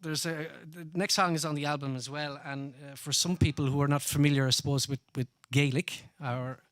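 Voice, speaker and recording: A middle-aged Irish singer-songwriter talking loosely between songs at a live studio session, with plenty of ums and uhs. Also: male voice, on the high side, a little fast, no echo. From Ireland. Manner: relaxed, rambling spoken intro with many fillers. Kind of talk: live studio performance with song introduction. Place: music studio. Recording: live studio with music playing.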